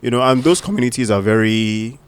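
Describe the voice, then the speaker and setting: A young adult Nigerian man with a Nigerian accent, co-hosting a podcast in relaxed, casual chat. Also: mid-range voice, a little fast, smooth. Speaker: podcast co-host.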